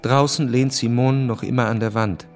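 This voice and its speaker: A man's voice: medium pitch, fairly even intonation, normal speed, a little mellow, close to an everyday voice. A man narrating a radio drama in a scripted literary style. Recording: music behind the voice.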